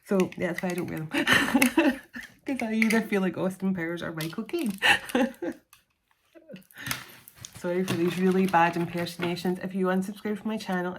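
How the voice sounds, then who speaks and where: A woman's voice: fairly low pitch, background noise. A middle-aged woman doing a solo tarot reading to camera, chatty and self-deprecating, laughing often between cards. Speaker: tarot reader.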